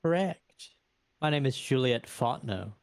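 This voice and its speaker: A man's voice: mid-range voice, animated, unhurried, gravelly. A man voicing a composed, well-to-do vampire businesswoman who makes deals courteously in a tabletop roleplay. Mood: pleased, formal.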